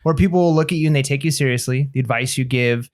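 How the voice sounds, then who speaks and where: A man's voice: medium pitch, fast talker. A man hosts a casual podcast in an everyday mid-range voice. He speaks steadily and explains things plainly, guiding the conversation from one topic to the next. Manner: steady, explanatory, guides the talk. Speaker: podcast host. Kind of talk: casual chat.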